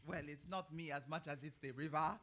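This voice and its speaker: An actress with an American accent playing a chatty, nosy older gardener in a staged play reading, meandering and prodding. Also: female voice, sits low, fairly even intonation, brisk, fairly bright tone. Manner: folksy, meandering, prodding with questioning hums.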